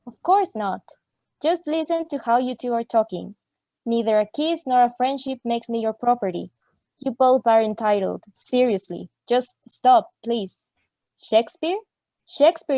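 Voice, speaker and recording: A woman's voice: fairly high pitch, animated, a little slow, mellow, no echo. A woman playing an exasperated young student in a radio play, declaiming in mock Shakespearean English. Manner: declamatory, archaic phrasing.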